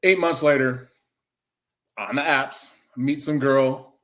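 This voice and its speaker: 40ish guy rambling on, loose chatty storytelling, regular pitch. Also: fairly even intonation, slow, a bit bright. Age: 40s. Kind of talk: casual chat, rambling storytelling.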